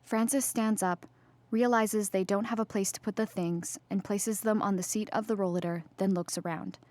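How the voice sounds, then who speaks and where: A woman reading scripted stage directions in a measured, neutral, descriptive manner. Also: medium pitch, steady tone, darkish tone, dry recording. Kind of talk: scripted narration, present-tense stage directions.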